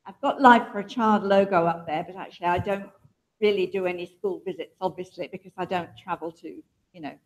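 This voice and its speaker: A woman's voice: fairly low pitch, fairly even intonation, brisk. A woman presenting at a medical teaching session in a lecture hall, chatty and asking the audience questions. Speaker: diabetes educator presenting. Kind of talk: conference talk with audience questions.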